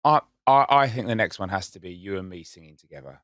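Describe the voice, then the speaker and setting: Lively, enthusiastic man co-hosting a podcast. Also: lowish voice, fast, no echo, studio quality. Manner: enthusiastic, self-mocking storyteller. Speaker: podcast co-host. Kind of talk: casual chat.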